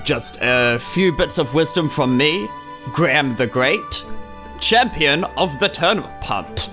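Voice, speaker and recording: A man's voice: fairly high pitch, animated. Man playing a pompous bumbling hero, swooping delivery. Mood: grand, self-important. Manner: pompous, halting, grandly muddled. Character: pompous, bumbling old-fashioned hero, champion of village games. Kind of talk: ceremonial speech in a tabletop roleplay. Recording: music behind the voice.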